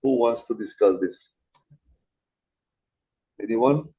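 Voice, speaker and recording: A man giving a guest lecture over a video call in a relaxed, chatty teaching manner. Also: medium pitch, takes it slowly, lo-fi sound. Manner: casual, chatty teaching, addresses students as guys. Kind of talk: informal online lecture.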